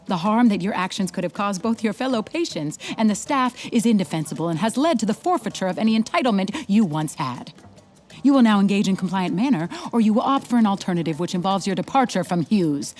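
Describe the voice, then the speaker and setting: A woman's voice: mid-range voice, animated, brisk, darkish tone, faint music behind, natural voice. An actress playing a stern, formal woman in charge of a rehab center, speaking in precise, authoritative sentences. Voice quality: formal, clipped, authoritative wording. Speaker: facility head. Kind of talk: audio drama acting.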